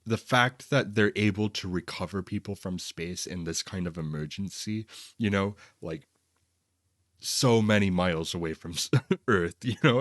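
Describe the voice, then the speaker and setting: Low-pitched man chatting casually, close dry sound. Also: dry recording. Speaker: podcast co-host. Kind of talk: casual movie discussion.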